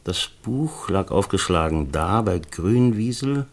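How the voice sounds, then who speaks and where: An actor playing a distracted, fussy middle-aged screenwriter in a radio drama, musing haltingly and repeating himself. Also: male voice, deep voice, lively intonation, full character voice. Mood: searching, rambling. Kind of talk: naturalistic radio drama acting.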